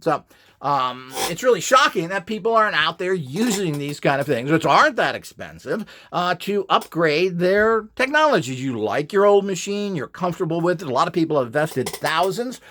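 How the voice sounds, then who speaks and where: Male presenter, animated swooping intonation, slightly high voice, blunt and opinionated, rambling informal explainer. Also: normal speed. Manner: opinionated, blunt, scornful of rivals. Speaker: presenter selling upgrade equipment. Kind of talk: informal instructional monologue.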